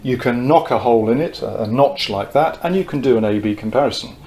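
A man in his sixties gives an informal technical lecture, explaining in a measured way with pauses mid-phrase. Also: medium pitch, darkish tone. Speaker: presenter of technical talks on loudspeakers. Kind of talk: informal whiteboard lecture, reading quotes from notes. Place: indoor room at a whiteboard.

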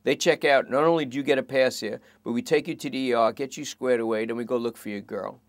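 A man's voice: medium pitch, fairly even intonation, quick pace, no room sound, voice pushed up, close to an everyday voice. A man voicing a tired, dryly coaxing police detective during a late-night interrogation. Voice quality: dry, coaxing. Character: weary, wry middle-aged male police detective.

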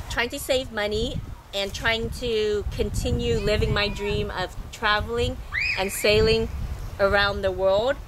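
A middle-aged woman with a scratchy, hoarse voice, rambling casually in a voice-over for her travel vlog. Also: mid-range voice. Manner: rambling, chatty, loud talker. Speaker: vlogger.

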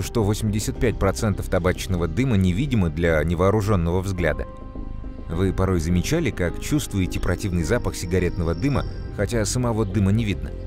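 A man playing a calm, knowledgeable mentor who explains things to children in an educational cartoon, measured and clearly enunciated. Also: low voice, natural voice. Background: music.

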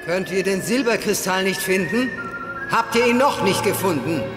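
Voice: medium pitch, expressive, normal speed, natural voice. A performer playing an imperious, menacing evil queen in a fantasy audio drama, with stately, commanding delivery. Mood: imperious, displeased. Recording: cavernous echo, music behind.

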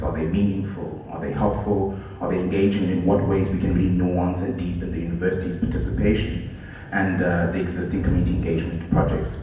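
Loud man presenting in an echoey hall. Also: sits low, distant mic, slight background noise. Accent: South African English. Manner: loud, friendly, joking. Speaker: program manager. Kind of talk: conference presentation. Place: large hall with curtains. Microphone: handheld microphone. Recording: echoey hall with long reverb, dull.

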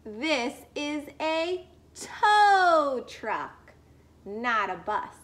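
A woman reading a picture book as a patient boy who corrects firmly, with deliberate emphasis. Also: sits high, sing-song, fairly bright tone, heavily acted. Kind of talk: children's picture book read-aloud.

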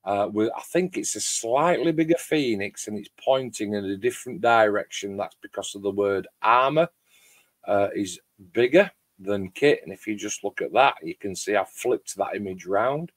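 A middle-aged man hosts a relaxed, rambling livestream chat. His voice is loud and fairly low. The sound is dry, with very little room echo. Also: normal speed. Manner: rambling, chatty. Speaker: host of a hobby club livestream. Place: home garage workshop shed.

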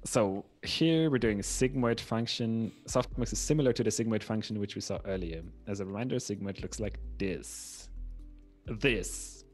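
A man's voice: on the low side, moderate pace, faint music behind. A man with an Austrian accent, a native German speaker, chatting casually and reading aloud as co-host of a study livestream. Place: small room indoors. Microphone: microphone on a boom arm close to the mouth.

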